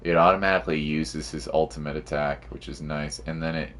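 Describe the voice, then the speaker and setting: A man's voice: extremely low pitch, fairly even intonation, brisk, a bit bright, slight background noise. A man giving casual gameplay commentary to livestream viewers, slangy and musing aloud to himself, with game music playing behind him. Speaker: game streamer.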